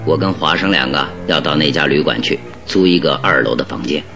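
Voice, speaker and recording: A man playing a shrewd, calm detective in a Mandarin radio drama, speaking deliberately and with emphatic instruction. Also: rumbling low, soft background music, full character voice. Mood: firm, instructing. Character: shrewd, commanding male detective, calm and exacting.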